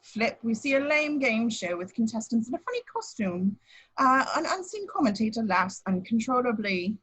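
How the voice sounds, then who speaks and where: High, slightly husky woman narrating. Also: sing-song, normal speed, fairly bright tone, rough recording. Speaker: action-description reader. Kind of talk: plain scripted narration at a table read.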